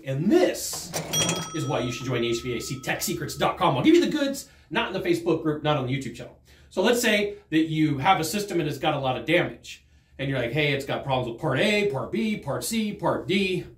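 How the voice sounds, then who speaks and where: Animated middle-aged male sales trainer. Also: fairly high pitch. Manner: animated, emphatic, gesturing while talking. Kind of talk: direct-to-camera sales lesson. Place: studio in front of a green screen. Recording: roomy studio echo.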